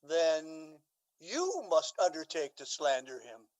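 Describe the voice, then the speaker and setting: Actor as a smug pompous duke in shakespeare radio drama, really slow n deliberate, voice goes up and down a lot. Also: medium pitch, huge pitch swings, darkish tone, heavily acted. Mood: coolly persuasive. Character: pompous, self-satisfied scheming old duke.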